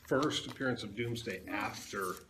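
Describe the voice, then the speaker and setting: A man's voice: on the low side, moderate pace. A man in casual conversation as a comic book fan, with some room noise around him. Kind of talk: casual chat. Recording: noisy room ambience.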